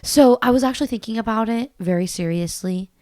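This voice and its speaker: A young woman in her twenties co-hosting a podcast, a bit congested, rambling in a relaxed way. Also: a little high, brisk, no room sound, studio quality. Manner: relaxed, rambling, thinks aloud. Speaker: podcast co-host. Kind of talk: casual chat.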